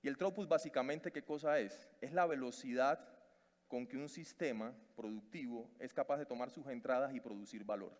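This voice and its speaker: A man with a Cuban Spanish accent gives a talk from a conference stage. His voice sits at an ordinary pitch and he restarts words often, filling gaps with little hesitations. The hall adds a long reverb. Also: normal speed, darkish tone. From Cuba. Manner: hesitant, many fillers and restarts. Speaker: conference speaker.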